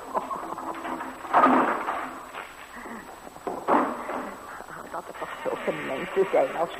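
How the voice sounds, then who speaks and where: An actress playing a doting, scolding old housekeeper, fussing, sighing and coaxing in a 1960s radio play. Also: female voice, on the low side, creaky. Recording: noisy vintage 1960s radio recording.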